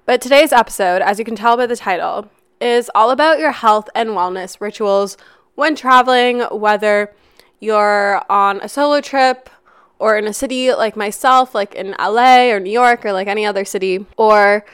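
Give me a young woman with a cough-roughened voice, smooth underneath, chatting upbeat as a podcast host. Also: medium pitch, normal speed, a bit bright, no echo, studio quality. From Canada. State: raspy from a cough. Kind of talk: casual solo podcast chat. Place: apartment while traveling. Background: faint traffic noise.

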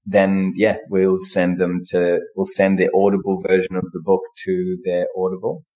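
A man being interviewed as a podcast guest, chatting in a relaxed, hesitant way with many fillers. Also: low voice, moderate pace, poor audio. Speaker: podcast guest, book club founder. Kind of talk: casual conversation.

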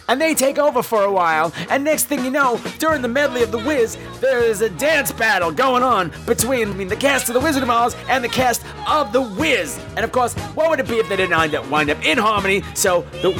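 A man hosting a podcast, telling comic personal stories in a campy, exaggerated theatrical style with salty, sassy asides. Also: high voice. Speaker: podcast host.

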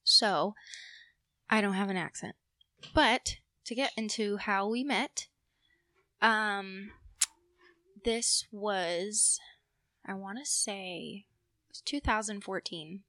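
A young woman with an American accent tells a personal story in casual conversation. Her voice is bright and sits close and dry, and she takes her time, slightly nervous and halting as she goes. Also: medium pitch, dry recording. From Puerto Rico.

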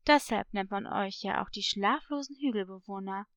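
Woman voicing a mysterious, low-pitched male parrot. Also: normal speed, a bit bright, dry recording, muffled recording, natural voice. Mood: knowing, foreboding. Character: mysterious, knowing male parrot, a foreboding stranger.

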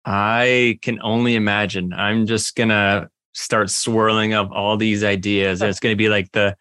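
A man hosting a podcast interview, friendly and joking in a relaxed way. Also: on the low side, unhurried, clear, resonant tone, dry recording. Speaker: podcast host.

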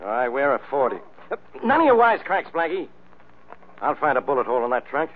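An actor playing a gruff, exasperated, slow-witted police inspector who blusters bossily in an old-time radio detective drama. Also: male voice, mid-range voice, big pitch swings, narrow, tinny audio. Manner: gruff, indignant, bossy. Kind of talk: 1940s radio detective acting.

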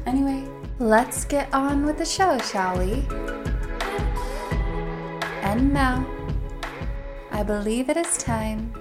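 A woman talking directly to her viewers in a gentle, soft voice that often drops near a whisper, sounding a little stuffy from allergies. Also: medium pitch, gravelly, reverberant. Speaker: astrology vlogger. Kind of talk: reflective spoken monologue to viewers.

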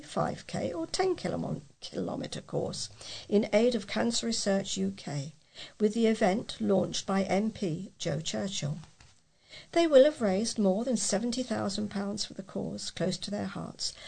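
Woman, very gravelly fry, husky tone, read-aloud local news, volunteer reader. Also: medium pitch, a little mellow. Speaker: volunteer newsreader.

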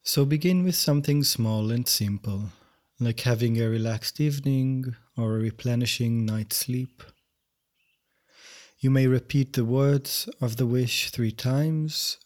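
A man teaching yoga nidra, guiding a meditation in gentle, measured, unhurried phrases. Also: mid-range voice. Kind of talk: guided meditation instruction.

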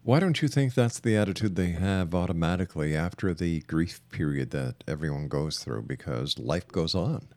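A man hosting a talk radio interview, speaking in an emphatic, deliberate way and repeating phrases for emphasis. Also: sits low, animated, a little mellow, dry recording. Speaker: radio show host.

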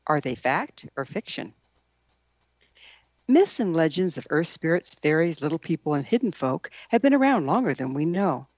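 A woman radio host with a notably low voice and lively, swooping intonation. She delivers scripted introductions and interview questions. The sound is close and dry but slightly dull and lo-fi. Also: moderate pace, no room sound.

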